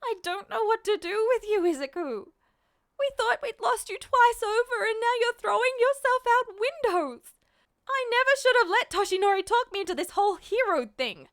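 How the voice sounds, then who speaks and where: A woman's voice: very high voice, lively intonation, moderate pace, a bit bright, pitched above the performer's own voice, heavily acted. A woman voicing a distraught, exasperated mother in a fan fiction audiobook, scolding with emphatic, reproachful delivery. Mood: distressed, pleading. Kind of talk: acted dialogue in an audiobook-style fan fiction reading.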